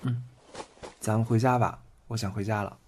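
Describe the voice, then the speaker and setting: A man's voice: fairly low pitch, steady tone, normal speed, darkish tone, a little hiss or hum, lightly acted. A man playing a defiant, blunt, proud young man in an audio drama, with curt delivery. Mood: soft, tired. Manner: curt, scornful retorts. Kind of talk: Chinese audio drama acting. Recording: music behind the voice.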